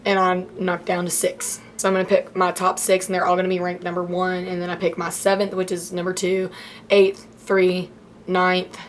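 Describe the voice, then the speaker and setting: A college freshman in her late teens, chatting in a casual vlog with a slightly hoarse voice as she loses it, rambling with many "like" fillers. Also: fairly low pitch, slight background noise.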